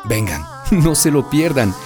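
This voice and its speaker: A woman's voice: extremely low pitch, very animated, unhurried, over music. A woman narrating a scripted radio drama in a playful storytelling manner.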